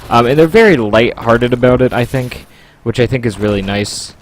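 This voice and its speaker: A man streaming live and talking off the cuff to his viewers, rambling from one thought to the next with plenty of ums. His voice sits at an ordinary middle pitch. Faint gunfire from a game plays quietly behind him. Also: moderate pace, slight background noise. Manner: rambling, chatty, with frequent ums. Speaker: livestreamer. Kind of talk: casual livestream chat.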